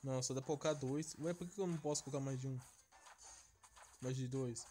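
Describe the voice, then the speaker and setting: A man's voice: medium pitch, brisk, mellow, faint music behind. A Brazilian man giving casual, slangy gameplay commentary, reacting aloud as he plays. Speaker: gamer, channel host. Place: voice-over on screen-recorded mobile game, music behind.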